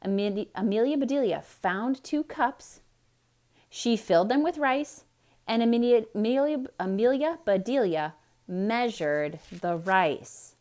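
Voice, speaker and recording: A woman reading a children's story aloud in a theatrical, expressive way, speaking directly to young listeners with explanatory asides. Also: on the high side, measured pace.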